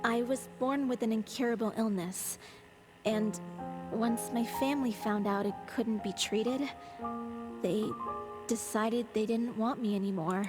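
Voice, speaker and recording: Casting: woman as sincere, reflective young singer for anime game, fairly high, measured delivery. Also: steady tone, lightly acted. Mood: wistful. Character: gentle, reflective young female troupe singer. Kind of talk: anime-style game voice acting. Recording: music under the voice.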